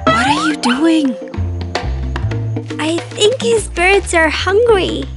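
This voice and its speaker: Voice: very high-pitched, huge pitch swings, takes it slowly, heavily acted. A performer voicing a bold, earnest, knowledgeable little girl in a children's cartoon, emphatic as she explains. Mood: earnest, explaining. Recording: loud background music.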